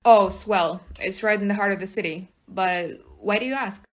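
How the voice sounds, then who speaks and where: Brash, streetwise police inspector acted by a woman for an audio play; medium-pitched, slangy and plain-spoken, on a dullish lo-fi recording. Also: moderate pace, close to an everyday voice. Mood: matter-of-fact. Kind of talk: amateur audio play acting.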